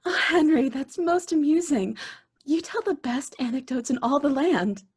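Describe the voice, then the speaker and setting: An actress playing a devoted, genteel young fiancée in old-time radio drama style. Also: female voice, high-pitched, animated, normal speed, a little mellow. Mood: bright, amused.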